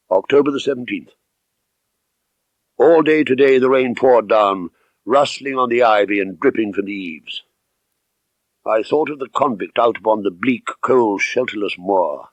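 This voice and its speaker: A man reading first-person literary narration as an audiobook narrator, measured, expressive and dramatic. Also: lowish voice, dry recording, rough recording.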